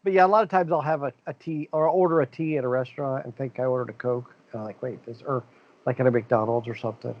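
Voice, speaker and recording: A man's voice: mid-range voice, steady tone, fast. Rambling middle-aged man, muffled sound. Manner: rambling storyteller, laughs often. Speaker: podcast co-host. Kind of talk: casual chat.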